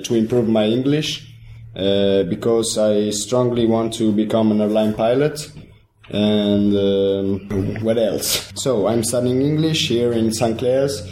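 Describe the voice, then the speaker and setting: A man in his twenties from Italy with an Italian accent, a language student introducing himself in halting English full of fillers. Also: fairly low pitch, warm tone, echoey room, a little hiss or hum. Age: 20s. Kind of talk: casual podcast introduction.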